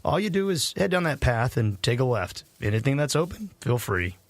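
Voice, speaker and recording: A man's voice: mid-range voice, very animated, normal speed, dry recording. An actor playing a friendly, generous older man who runs a campground in an audio drama. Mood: welcoming.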